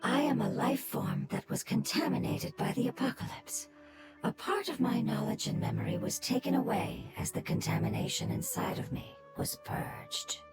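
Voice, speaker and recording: A woman voicing a gentle, sorrowful creature longing for its homeland in a video game, speaking formally and solemnly with breath that often sinks toward a whisper. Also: a little high, big pitch swings, creaky. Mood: solemn, regretful. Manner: formal, solemn, slow and deferential. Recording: music behind the voice. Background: game music.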